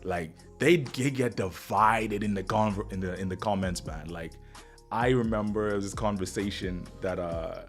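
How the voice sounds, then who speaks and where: Bahamian-accented man, deep low voice, chatty live stream host, faint music behind. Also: unhurried. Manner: rambling, upbeat, talks straight to viewers. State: getting over the flu, slight cough. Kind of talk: casual live stream chat.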